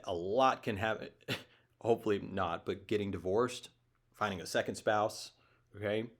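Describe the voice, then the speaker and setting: Man, 30s, lowish voice, casual host monologue, rambling, emphatic. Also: fairly bright tone. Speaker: show host.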